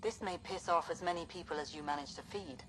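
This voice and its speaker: A woman's voice: fairly low pitch, fast, reverberant, over music, lightly acted, poor audio. An actress playing a stern, pragmatic woman who directs a colony, speaking with dry skepticism. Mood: wry.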